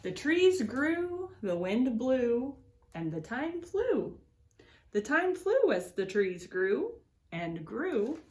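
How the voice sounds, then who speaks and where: Woman for children's read-aloud: unhurried educator, swooping expressive delivery, mid-pitched voice, words stretched for effect. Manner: deliberate, expressive storytelling with drawn-out words and swoops. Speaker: extension educator reading aloud to schoolchildren.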